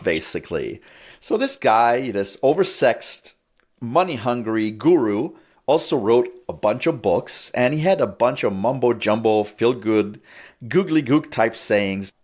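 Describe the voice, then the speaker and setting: A man hosting a talk show, giving a brash, teasing monologue. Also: medium pitch. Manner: brash, teasing, lively delivery. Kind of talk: casual monologue.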